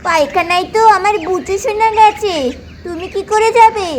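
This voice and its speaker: Woman voicing a very high-pitched fussy doll mother. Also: fairly even intonation, moderate pace, a little hiss or hum. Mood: flustered scolding. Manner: coaxing, scolding, exclamatory. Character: fussy, loving young village doll mother. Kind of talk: doll-play cartoon story acting.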